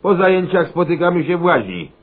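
A man's voice: high voice, very animated, fairly quick. An actor playing a boorish, self-important male lecturer in a radio comedy, blustering orders in garbled officialese. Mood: bossy blustering. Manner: blustering, malapropisms, barking orders. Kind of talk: satirical radio comedy acting. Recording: muffled radio recording, faint music.

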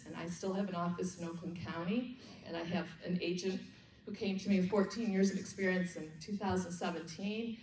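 A woman's voice: medium pitch, a little mellow. A middle-aged American woman giving an informal presentation as a speaker at a conference. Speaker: commercial real estate broker, speaker. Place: indoor conference room. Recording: echoey room, distant mic, background noise.

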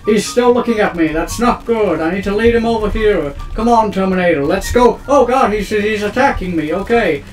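A young man giving casual, excitable gameplay commentary as a gaming channel host, rambling and panicking aloud as things go wrong. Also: high-pitched, moderate pace, hardly any pauses. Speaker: gaming YouTuber. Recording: roomy, distant mic with background music.

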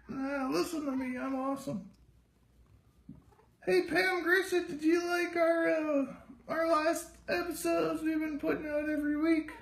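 A performer voicing a vain, sassy female house cat with a raspy, drawling voice, live-streaming from a home kitchen on a phone. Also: high-pitched, reverberant, full character voice, low-quality recording. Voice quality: raspy, gravelly. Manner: slow, drawling, full of herself. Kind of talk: live-stream pet narration. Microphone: phone camera held by the speaker.

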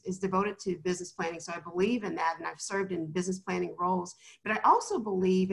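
Earnest woman panelist, conference-speaker polish, mid pitch, touch of fry. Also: a bit bright, poor audio. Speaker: business consultant, panelist. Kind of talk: panel talk. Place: indoor room. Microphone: webcam on video call.

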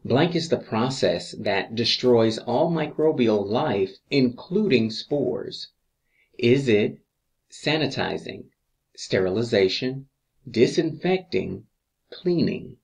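A man reading scripted exam review questions as an instructor, deliberately, pausing between each answer choice. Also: medium pitch, expressive, gravelly, a little mellow.

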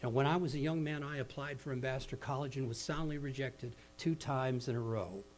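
A middle-aged man with an American accent preaching a sermon in an earnest, deliberate manner, stressing key phrases. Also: mid-range voice. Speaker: pastor. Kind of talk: sermon with slides, measured public speaking. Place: indoor meeting hall at a lectern. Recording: noisy live hall recording with hum.

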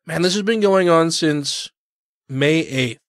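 A man's voice: on the high side, slow pace, no echo, clean studio sound. Congested man hosting a casual podcast. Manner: swears freely, rambling. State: congested, recovering from illness. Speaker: podcast host. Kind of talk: casual chat.

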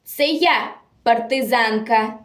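A woman recites as an unbreakable young partisan girl in a war poem. The voice is high, proud and defiant, with slow, solemn emphasis. A strong room echo surrounds it. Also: fairly bright tone. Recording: echoey room, distant microphone.

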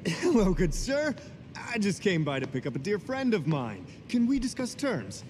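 An actor playing an easygoing, heroic young adventurer in a video game, breezy, informal and expressive. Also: male voice, high voice, moderate pace, background noise. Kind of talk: video game cutscene acting.

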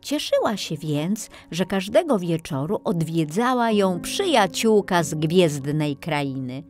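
A woman narrating a scripted children's bedtime story in a gentle, expressive storytelling manner. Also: on the low side, takes it slowly, soft background music. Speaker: audiobook narrator.

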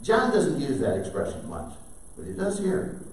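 An older man preaching a sermon from a pulpit, animated, with sudden loud bursts. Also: high voice, moderate pace, gravelly. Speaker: pastor. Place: church sanctuary. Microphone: far camera, preaching at a wooden pulpit. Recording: very echoey sanctuary heard from a distance.

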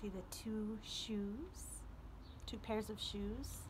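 A woman in her thirties or forties, a children's librarian introducing and reading poetry aloud with careful phrasing and pauses. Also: medium pitch. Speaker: children's librarian and story-time host. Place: outdoors on a front porch. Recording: outdoor porch ambience, light street noise.

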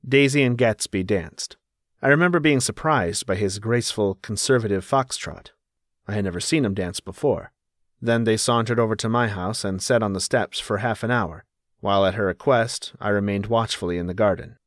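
A man's voice: fairly low pitch, normal speed, no room sound, clean studio sound, lightly acted. A man narrating a literary audiobook as a reflective, observant young narrator.

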